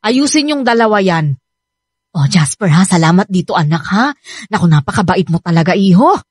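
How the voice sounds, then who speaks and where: A woman's voice: fairly low pitch, very animated, brisk, a little mellow, slightly rough, dry recording, clean studio sound. An actress with a Filipino accent playing a doting, bossy middle-aged mother in a radio drama. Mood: fond, grateful. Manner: fussy, chatty, commanding.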